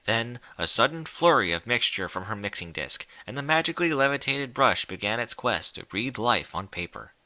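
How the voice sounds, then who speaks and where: Very bright, animated man narrating stories. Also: mid-range voice, normal speed, hardly any pauses, no room sound. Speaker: story reader. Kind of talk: dramatic scripted narration of fan fiction.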